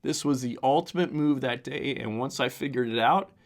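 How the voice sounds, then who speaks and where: Hoarse man hosting a fishing podcast. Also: medium pitch, normal speed. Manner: rambling with fillers, reading aloud from a newsletter. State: hoarse, strained voice. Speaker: podcast host. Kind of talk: casual solo podcast commentary.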